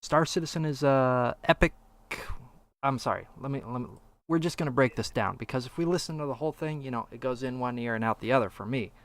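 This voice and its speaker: A man's voice: medium pitch, quick pace. A man hosting a podcast, chatting casually and offering commentary into a streaming microphone. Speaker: podcast host.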